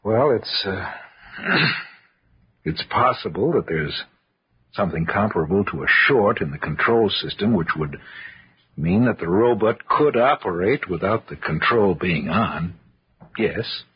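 A man playing an uneasy scientist who tries to reassure, halting and measured, in an old radio thriller. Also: low-pitched, animated, breathy edge, full character voice. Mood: uneasy, reassuring. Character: uneasy but reassuring male robotics scientist. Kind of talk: 1950s radio thriller acting. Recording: muffled old radio recording.

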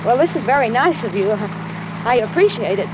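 A woman's voice: fairly high pitch, animated, normal speed, close to an everyday voice. An actress playing a polite, trusting young American carhop in a 1940s radio suspense play, hesitant and confiding as she grows uneasy. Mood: nervous politeness. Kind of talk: 1940s radio suspense acting, narrated in first person. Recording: crackly, muffled 1940s radio recording.

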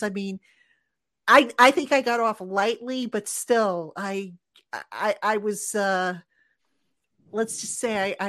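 A woman's voice: mid-range voice, a bit bright. A middle-aged woman with a New Jersey accent, hosting a casual sports podcast and telling stories with frequent restarts. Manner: chatty storyteller, frequent restarts. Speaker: podcast host.

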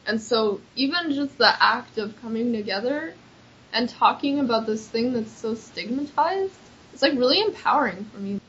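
A woman's voice: on the high side, lively intonation, normal speed, fairly bright tone. Chatty young woman, lo-fi. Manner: earnest, chatty, frequent "like" fillers. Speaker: accessibility activist. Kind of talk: casual interview answers. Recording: slightly dull lo-fi recording.

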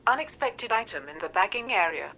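A woman's voice: medium pitch, animated, brisk, highs cut off, background noise, close to an everyday voice. A woman voicing a polite supermarket self-checkout machine giving formulaic prompts. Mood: impersonal.

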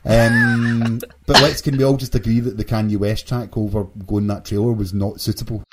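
A man's voice: fairly low pitch, level tone, moderate pace, heavy background noise. A man hosting a casual, jokey podcast discussion among friends. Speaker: podcast host.

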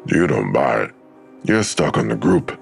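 An actor playing a terse, brooding police detective who questions everything in an audio drama, with a gravelly voice. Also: male voice, rumbling low, very animated, unhurried, faint music behind, full character voice. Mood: skeptical.

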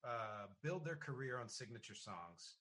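A male podcast host with a bright, forward voice at a middling pitch. He chats casually, laying out his opinions in a diplomatic, explanatory way. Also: sing-song, unhurried. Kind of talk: casual interview chat.

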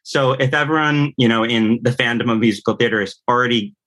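A man's voice: medium pitch, steady tone, fast, a bit bright. A man from New York state speaking as an interview guest in an earnest, explanatory, conversational way. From New York state, United States. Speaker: podcast guest, composer and audio producer.

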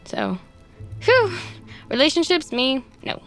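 A woman's voice: high-pitched, big pitch swings, slow pace, fairly bright tone, faint music behind, full character voice. A woman playing an awkward, sardonic, self-deprecating human sidekick in an audio drama, rambling and easily flustered. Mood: self-deprecating, wry. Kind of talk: naturalistic audio drama acting.

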